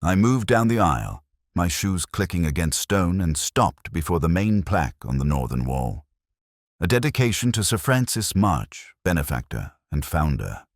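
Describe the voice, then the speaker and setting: A man playing a melancholy police detective, narrating a mystery in a measured, literary, reflective way. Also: sits low, big pitch swings, no echo, studio quality. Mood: somber, observant. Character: brooding, melancholy male police detective narrating his case. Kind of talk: scripted first-person mystery narration.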